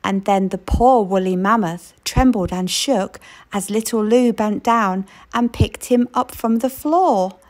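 A woman reading a children's picture book aloud in an expressive storytelling way. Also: mid-range voice. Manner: expressive storytelling, slow and lilting.

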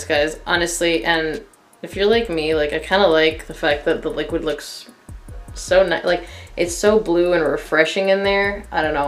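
Chatty woman reviewing perfume, low voice. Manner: chatty, candid, filler-heavy. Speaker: fragrance reviewer. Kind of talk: casual review to camera. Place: living room in front of a couch, indoors.

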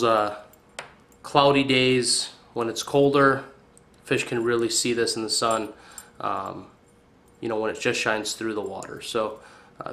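A young man hosting a casual fishing video indoors, talking in a relaxed, hesitant way with frequent fillers, recorded on a camera mic at a counter. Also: mid-range voice. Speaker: fishing channel host. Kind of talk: casual tackle review. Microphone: camera mic on tripod.

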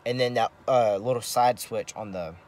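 A man giving a casual, rambling gear demonstration as a vlogger, halting often and repeating himself. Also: mid-range voice, darkish tone. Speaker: vlogger showing CB radio gear.

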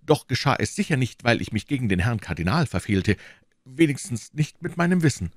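A man's voice: mid-range voice, big pitch swings, brisk, no echo, clean studio sound, voice pushed up. A man voicing a timid, frightened middle-aged shopkeeper in an audiobook, trembling and pleading as he is questioned. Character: timid, frightened fifty-one-year-old shopkeeper under interrogation. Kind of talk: acted audiobook dialogue.

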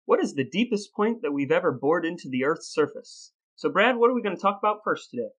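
A man hosting a podcast, telling stories and explaining in a deliberate, playfully grandiose presenter's delivery. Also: on the high side, big pitch swings, fairly bright tone, breathy edge. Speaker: podcast host. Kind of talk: scripted storytelling and conversational explaining.

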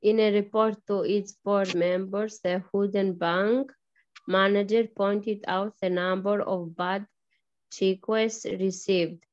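A woman's voice: medium pitch, fairly even intonation, takes it slowly, a little mellow, no room sound, lo-fi sound. A woman from Albania, a student in an online English class, reading a task aloud steadily. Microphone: video call.

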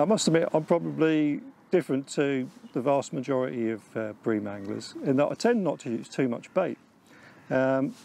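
A middle-aged Englishman with a gravelly, mellow voice explains his approach at a calm, steady pace. He sits outdoors beside a lake and speaks into a clip-on mic. Faint outdoor noise sits behind him. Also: mid-range voice. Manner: measured, explanatory, talks with his hands. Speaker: angling expert. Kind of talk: instructional piece to camera. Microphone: lapel mic.

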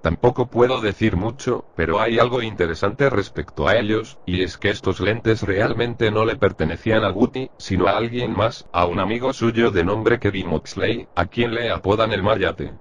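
A man's voice playing a methodical older police forensic doctor, explaining formally and in measured phrases in a crime drama. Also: fairly low pitch, slight background noise, close to an everyday voice. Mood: clinical. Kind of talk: scripted machinima crime drama dialogue.

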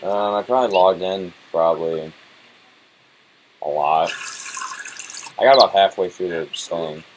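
A man's voice: low-pitched, a little slow, slight background noise, poor audio. A man chatting casually as a let's play host, swearing freely and laughing easily. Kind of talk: casual chat over gameplay.

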